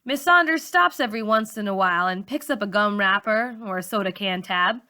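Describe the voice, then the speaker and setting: A woman reading a novel aloud as a teacher would, in an expressive storybook style for young students. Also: medium pitch, fairly quick. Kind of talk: expressive storybook narration for middle schoolers.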